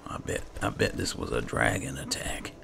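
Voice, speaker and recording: A man's voice: very deep voice, steady tone, normal speed, gravelly, background noise. A man giving casual gameplay commentary, muttering reactions to himself. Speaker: gamer and role-player. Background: game music.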